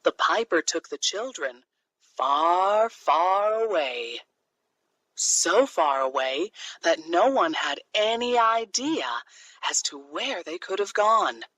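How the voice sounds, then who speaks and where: A woman narrating a children's fairy tale from a script, in an expressive, deliberate storytelling manner. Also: on the low side, no echo, poor audio.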